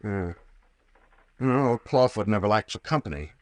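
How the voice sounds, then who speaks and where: An actor playing a brash, sardonic captain and investigator with folksy slang in an old-time radio drama. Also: male voice, on the low side, expressive, normal speed, darkish tone, no room sound, natural voice. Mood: wry, probing. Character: gruff wisecracking sea captain playing armed investigator.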